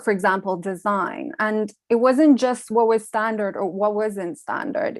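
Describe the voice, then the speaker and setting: Young woman, mid-pitched, reflective panel speaker, deliberate with fillers, dry room. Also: no room sound. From Iran. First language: Farsi. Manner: measured, thoughtful, with frequent ums and uhs. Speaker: panel speaker, design researcher. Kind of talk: reflective conference talk.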